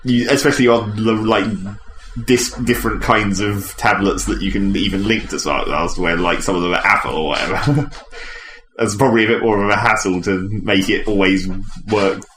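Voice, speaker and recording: A man's voice: fairly low pitch, steady tone, normal speed, fairly bright tone. A man co-hosting a casual gaming podcast, talking informally in a hedging, questioning way. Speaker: podcast co-host. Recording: echoey room with faint background noise.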